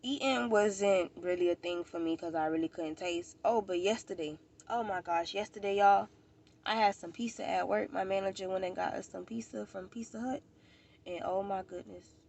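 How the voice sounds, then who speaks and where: A woman's voice: mid-range voice, normal speed, gravelly, darkish tone. An American woman vlogger with a stuffy nose, chatting casually and informally to the camera, rambling and repeating herself. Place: outdoors at a park.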